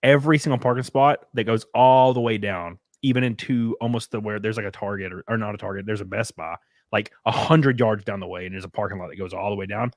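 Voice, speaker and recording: A man's voice: fairly low pitch, quick pace, no echo, clean studio sound. A man hosting a casual podcast chat, rambling with lots of fillers. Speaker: streamer host.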